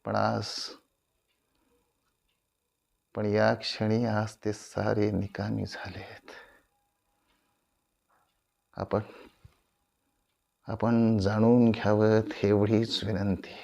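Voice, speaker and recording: A man acting a proud, aging veteran stage actor in a theatrical monologue, grandiloquent and deliberate, with dramatic pauses and repeated phrases. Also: medium pitch, fairly even intonation, creaky. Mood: subdued, reflective. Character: proud, aging veteran Marathi stage tragedian addressing his audience.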